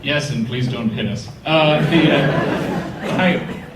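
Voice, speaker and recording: A man's voice: fairly high pitch, slow. A man moderating a screening Q&A, chatty and joking with the guest. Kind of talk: live audience Q&A. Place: theater hall with audience. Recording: echoey hall from far off, noisy.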